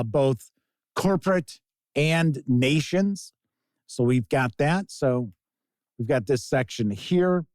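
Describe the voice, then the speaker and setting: A man presenting a casual tabletop game review as host, speaking in deliberate, emphatic phrases. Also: fairly high pitch, a little mellow, no echo. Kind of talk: casual scripted-outline product review to camera.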